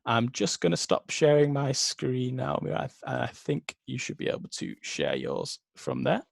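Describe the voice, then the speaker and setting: Brief: male organiser, gravelly voice, dry call audio, reassuring. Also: mid-range voice, sing-song, moderate pace, no echo. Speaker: session organiser. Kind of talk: casual chat on a video call. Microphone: video call.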